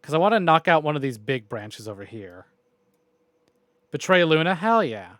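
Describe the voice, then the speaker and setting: A man with a fairly high-pitched voice talks casually to his livestream viewers. His delivery is rambling and informal, with loose phrasing and the odd mild swear. The sound is dry, with no room echo. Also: sing-song, normal speed, fairly bright tone. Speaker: video game livestreamer. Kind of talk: casual chatty stream sign-off to viewers.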